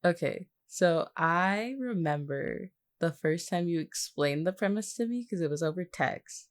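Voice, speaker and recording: A woman co-hosting a casual podcast-style chat, with a teasing, understated deadpan way of talking. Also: on the low side, measured pace. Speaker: podcast co-host, voice actor.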